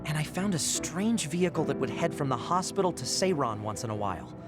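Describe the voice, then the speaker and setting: A man's voice: a little high, sing-song, a little fast. A man playing a savvy young military informant in a video game, informal and laid-back. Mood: matter-of-fact. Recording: loud music behind dialogue. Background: loud game soundtrack music.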